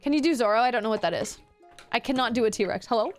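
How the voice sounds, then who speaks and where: A woman's voice: on the high side, expressive, fast talker, soft background music. A young woman streamer, giving a chatty, giggly voice lesson to her chat and swearing casually. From Canada.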